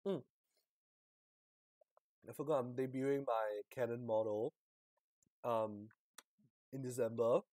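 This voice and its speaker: A man live streaming a game, chatting casually and haltingly, muttering to himself and to his chat. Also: mid-range voice, fairly even intonation, darkish tone, no room sound, low-quality recording.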